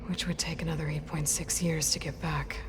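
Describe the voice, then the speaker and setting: A woman's voice: low voice, flat delivery, brisk, noisy background, close to an everyday voice. A woman playing a determined, sceptical young hero in a video game, asking questions bluntly and with hesitant breaks. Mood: probing, thoughtful. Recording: long hall reverb, game music behind. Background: music.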